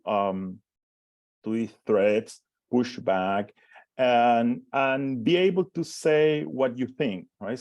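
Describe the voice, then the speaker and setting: A man's voice: medium pitch, a little mellow, dry recording. A man in his forties from Chile with a Chilean Spanish accent, giving a conference talk as a professor, hesitant with many restarts and fillers. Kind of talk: conference presentation.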